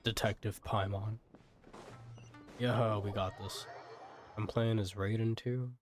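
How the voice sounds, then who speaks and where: Low-voiced, slangy male game streamer. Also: steady tone, slow pace, soft background music. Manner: laid-back, sweary, repeats himself. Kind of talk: casual live-stream commentary, slangy.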